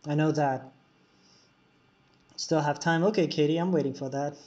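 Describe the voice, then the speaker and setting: Casual male game streamer, slightly high voice. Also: fairly even intonation, normal speed, mellow. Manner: casual, answers chat while playing, frequent fillers. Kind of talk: live-stream commentary while playing. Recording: dull lo-fi recording.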